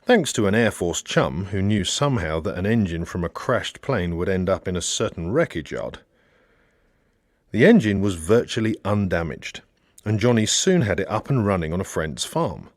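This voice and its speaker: Deep-voiced, expressive male audiobook narrator. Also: normal speed, dry recording, studio quality. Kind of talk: scripted narration of a satirical novel.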